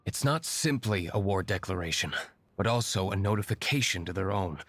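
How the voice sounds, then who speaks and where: A man playing a cool, aloof young nobleman and secret vigilante in an anime-style video game, measured and formal. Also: sits low, expressive, a bit bright, a little hiss or hum. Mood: grave.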